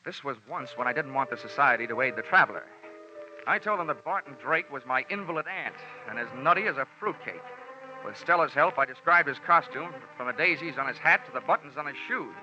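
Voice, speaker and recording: An American actor playing a tough, wisecracking insurance investigator with a wry, snappy delivery in an old radio detective drama. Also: male voice, mid-range voice, expressive, a little fast, pushed and bright, faint music behind. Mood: wry narration. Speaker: lead character and narrator. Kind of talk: 1950s radio detective drama, hardboiled narration. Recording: dull vintage radio broadcast recording.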